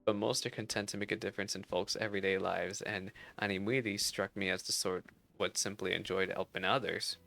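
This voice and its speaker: A man's voice: deep voice, little pitch movement, brisk, creaky, few pauses, pushed and bright, faint music behind, heavily acted. A man voicing a plain-spoken, helpful tavern keeper from a fantasy game, with folksy old-fashioned phrasing. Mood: helpful, matter-of-fact.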